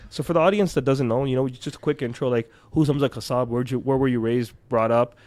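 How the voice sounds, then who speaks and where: A man hosting a podcast, talking in a casual, animated way with frequent restarts. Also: medium pitch. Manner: animated, quick storytelling with many restarts. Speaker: podcast host. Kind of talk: casual interview chat.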